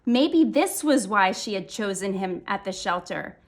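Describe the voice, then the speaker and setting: Slow, expressive woman reading a storybook. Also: medium pitch. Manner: expressive, deliberate phrasing with dramatic emphasis. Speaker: author reading her own picture book aloud. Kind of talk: animated children's story read-aloud.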